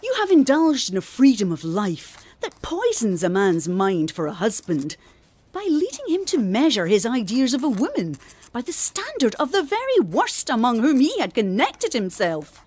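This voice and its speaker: An actress playing a refined, earnest older gentlewoman reading her formal, gently preaching letter in a radio drama. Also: on the high side, wildly swooping pitch, normal speed, slightly rough, full character voice. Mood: earnest, admonishing.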